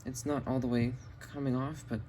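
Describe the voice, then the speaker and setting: A man's voice: mid-range voice, little pitch movement, normal speed, warm tone. Man casually demonstrating gadgets, noisy recording. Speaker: video host, hobbyist collector. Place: indoors in a home basement. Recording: clearly noisy home camera recording.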